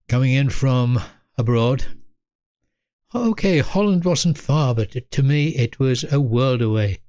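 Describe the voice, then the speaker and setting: An elderly Englishman in his eighties reminisces at a very unhurried pace. He speaks in short, measured phrases with pauses between them, in a mid-pitched voice. The sound is dry and close, free of room echo. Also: darkish tone. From North East England. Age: 80s. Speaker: storyteller, host of own video series. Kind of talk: reflective autobiographical storytelling to camera.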